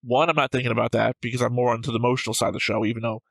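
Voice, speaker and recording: A man's voice: mid-range voice, little pitch movement, rapid-fire, vocal fry, no echo. A man who hosts a reaction show, talking casually and discursively about a series, rambling and swearing freely.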